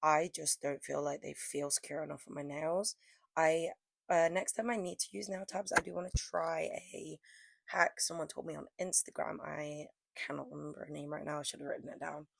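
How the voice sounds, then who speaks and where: A woman's voice: low-pitched, little pitch movement, moderate pace, no room sound. A young woman losing her voice to a cold, rambling casually with lots of fillers as she talks through her nail art. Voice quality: hoarse, croaky. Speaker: press-on nail artist. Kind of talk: casual chatty vlog narration.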